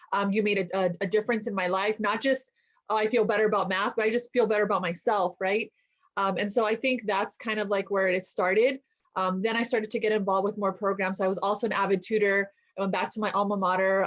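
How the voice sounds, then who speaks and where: A woman math teacher chats easily on a panel, pausing often on ums. Her voice has a mid-range pitch, and the audio is muffled and lo-fi. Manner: relaxed, conversational, frequent ums. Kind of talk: panel discussion. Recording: dull lo-fi audio.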